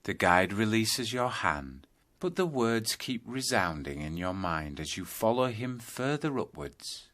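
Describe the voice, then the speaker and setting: A man narrating a scripted guided yoga nidra meditation, telling a journey in measured second-person storytelling. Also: on the low side, sing-song, a bit bright.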